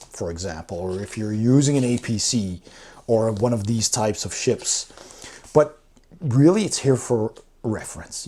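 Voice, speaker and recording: A man's voice: medium pitch, mellow, slightly rough. A man giving a casual, unscripted review as host, with an informal, enthusiastic way of talking. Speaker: board game reviewer, host.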